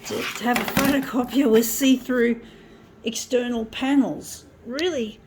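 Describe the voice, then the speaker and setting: A woman giving a rambling, earnest personal monologue, halting and repeating herself as she addresses her listeners. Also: on the high side, fairly even intonation. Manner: halting, repetitive, often addressing listeners as folks. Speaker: presenter of a personal video. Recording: noisy amateur recording with background ambience.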